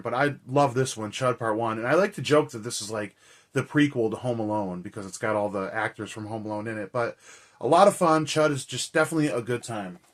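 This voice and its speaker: A man with a hoarse, raspy, tired voice, hosting a vlog in a casual, rambling, slangy way. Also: medium pitch. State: hoarse and tired after a cold and shouting. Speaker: horror movie reviewer and vlog host. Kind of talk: casual chat to camera.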